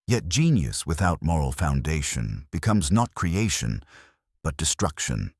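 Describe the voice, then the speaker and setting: A man voicing a shrewd elderly Belgian detective who speaks in a measured, precise and formal way. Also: rumbling low, big pitch swings, slightly rough, no room sound, clean studio sound, exaggerated voice. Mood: reflective.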